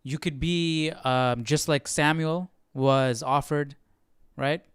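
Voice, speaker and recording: A man's voice: fairly high pitch, steady tone, takes it slowly, smooth, no room sound, studio quality. A man leading a casual unscripted Bible study as host, thinking aloud hesitantly and often checking with a "right?". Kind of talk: casual unscripted teaching commentary.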